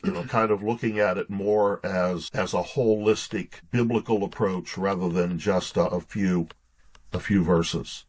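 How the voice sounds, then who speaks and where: A man's voice: sits low, steady tone, measured pace, a little mellow. A man speaking as a guest author in an interview, conversational, often restarting and repeating his words as he goes.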